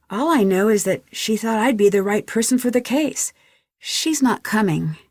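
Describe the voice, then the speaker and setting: A woman's voice: medium pitch, no room sound. Young lady detective from a mystery game, curious n polite, asks stuff earnestly, dry studio sound. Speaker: video game voice actor. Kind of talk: scripted game dialogue, clear and natural.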